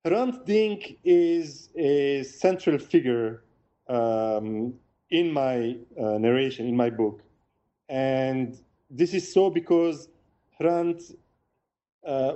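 Middle-aged male guest, warm and soft-edged tone, careful and halting delivery, remote call. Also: a little high, highs cut off. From Lebanon. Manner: measured, pausing often to find words. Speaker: author and professor, interview guest. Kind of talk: remote interview. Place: home in a mountain village.

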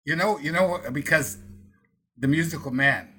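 A man's voice: fairly high pitch, normal speed, sharp tone, breathy edge. A man interviewing a guest, joking and cutting in often.

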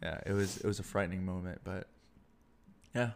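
A man's voice: low-pitched, fairly quick, gravelly. A young man, a podcast co-host who grew up speaking Vietnamese, telling a childhood story in casual chat and laughing often.

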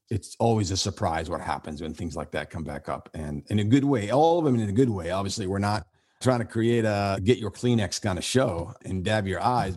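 Deep husky man, earnest and reflective. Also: a little mellow. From Syria. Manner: earnest, reflective, rambling with many restarts. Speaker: podcast host being interviewed. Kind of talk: conversational podcast interview.

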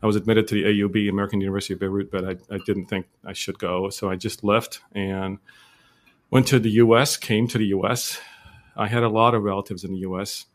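A man in his forties from Lebanon, telling his life story as a guest in a casual interview, steady and measured with frequent fillers. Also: on the low side.

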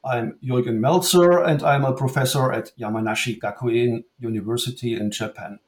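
A man from Germany with a German accent, a professor answering interview questions in a formal, measured way. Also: medium pitch, fairly even intonation. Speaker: guest author, professor. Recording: roomy echo.

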